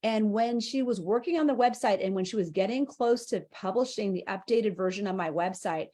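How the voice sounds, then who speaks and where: A woman's voice: mid-range voice, a little fast, mellow. A woman speaking as a guest on a live spiritual interview, talking in an earnest, heartfelt way with long flowing phrases. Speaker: interview guest, angel mentor.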